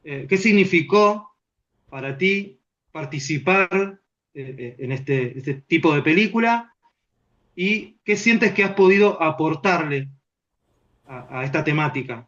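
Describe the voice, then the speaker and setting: A male interviewer asks long questions, stumbling and correcting himself along the way. His voice sits fairly high and comes through on dull, muffled audio. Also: lively intonation, moderate pace, a bit bright. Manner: hesitant, self-correcting.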